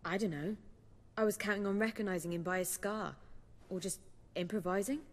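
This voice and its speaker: An actress playing a spirited, theatrical young bard and playwright in a video game, brisk, articulate and expressive. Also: female voice, mid-range voice, big-room sound, natural voice. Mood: defensive, brisk. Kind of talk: video game dialogue acting.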